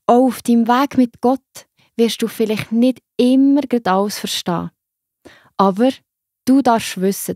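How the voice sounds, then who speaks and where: A woman narrating a children's Bible story, gently addressing the young listener. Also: on the high side, no echo, clean studio sound.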